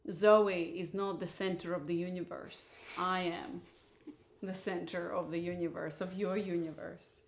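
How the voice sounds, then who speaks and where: A woman's voice: on the low side, flat delivery, unhurried, slight background noise. Frank, opinionated woman from Moldova. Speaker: podcast host. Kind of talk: casual couple's chat.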